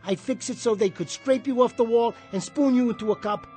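An actor playing a bitter, washed-up small jockey in a radio drama, husky and combative, talking in streetwise slang. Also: male voice, very high-pitched, fairly quick, darkish tone, soft background music. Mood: belligerent.

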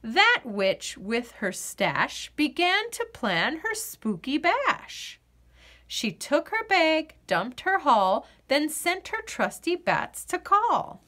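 A woman reading a children's picture book aloud in an expressive, sing-song storyteller's delivery. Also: sits high, slow.

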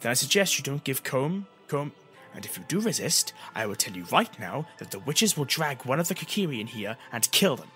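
A man's voice: medium pitch, big pitch swings, fairly quick, bright, forceful tone, faint music behind, pitched above the performer's own voice. A man voicing a stern, guarded female warrior who talks in a firm, curt, controlled way. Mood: stern warning.

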